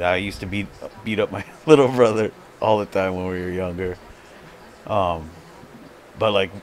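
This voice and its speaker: An American man casually telling a story on a live stream, swearing freely in a crude way. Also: on the low side. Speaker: video host and streamer. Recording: clearly noisy background.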